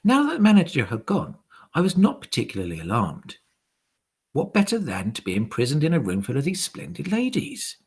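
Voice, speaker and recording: A man narrates a children's storybook with great theatrical flair. His pitch leaps and dives constantly, and his husky voice drops into hushed tones to build suspense. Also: wildly swooping pitch, fairly quick. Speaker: teacher reading a story aloud.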